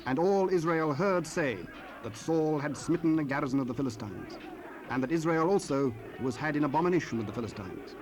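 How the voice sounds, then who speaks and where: A man narrating dramatized scripture in a solemn, formal, measured manner. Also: a little high, expressive. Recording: faintly noisy cassette rip.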